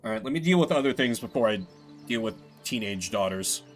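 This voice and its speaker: Male streamer: casual, jokey, thinks out loud, expressive pitch swings, light game music underneath. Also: a little fast.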